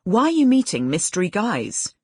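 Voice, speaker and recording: Defensive, questioning woman of forty acted by a female narrator, husky, lively audiobook dialogue. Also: mid-range voice, moderate pace, no room sound, studio quality, voice pushed up. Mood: incredulous. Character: wry, defensive forty-year-old woman novelist.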